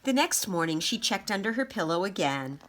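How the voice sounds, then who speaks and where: A woman reading a children's story aloud as an expressive narrator. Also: mid-range voice, fairly quick. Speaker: storybook reader.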